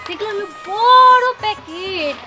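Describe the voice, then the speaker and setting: A woman playing a teasing young relative who lectures an uncle. Her voice is very high and swings lively. Loud music plays behind her. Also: unhurried. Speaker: young relative giving advice in a comic drama. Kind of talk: comic village drama acting.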